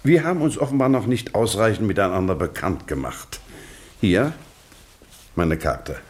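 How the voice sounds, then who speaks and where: An actor playing a stern, insistent English police investigator in a German radio drama, questioning in formal, pointedly courteous phrases. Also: male voice, lowish voice, animated, moderate pace, slightly rough. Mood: stern, pressing. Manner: formal, pointed questioning with mock-polite address.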